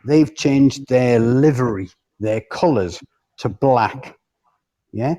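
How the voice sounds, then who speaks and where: A man's voice: medium pitch, takes it slowly, mellow. A man in a heated casual debate, speaking insistently, cutting in and swearing freely.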